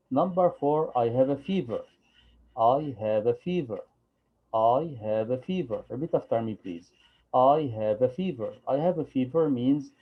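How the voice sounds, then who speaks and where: A man, teaching an English lesson, drilling phrases slowly and patiently for students to repeat. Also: medium pitch, breathy edge. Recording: dull lo-fi recording.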